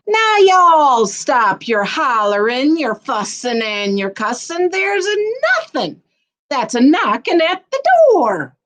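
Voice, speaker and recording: A woman plays a bossy country Pa from a picture book. He scolds in a slow, emphatic, folksy drawl, with the pitch jumping up and down. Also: very animated, fairly bright tone, full character voice. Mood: exasperated. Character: bossy country Pa scolding his rowdy family.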